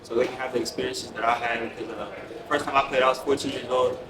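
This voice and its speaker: A man's voice: medium pitch, little pitch movement, quick pace. An eighteen-year-old man, a basketball player, answering press questions with a lot of hesitant filler. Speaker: national team basketball player. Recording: roomy, crowd noise, distant mic.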